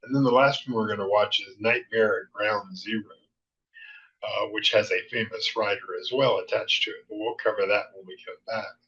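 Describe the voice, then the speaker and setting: A man hosting a casual commentary on old shows, speaking haltingly. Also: lowish voice, steady tone, bright, forceful tone, muffled recording. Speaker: host.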